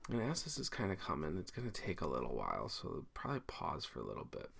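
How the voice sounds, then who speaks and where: Low, gravelly man walking through software. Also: huge pitch swings, fast talker. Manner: informal, thinking aloud while working. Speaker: teacher giving a software tutorial. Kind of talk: casual screencast tutorial, explaining step by step. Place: indoors at a computer, screen recording.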